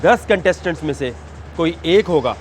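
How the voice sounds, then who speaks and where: A man hosts a dare reality show in a fairly high, stern voice, with a mocking edge toward the contestants. Loud music plays under his speech throughout. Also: animated, moderate pace.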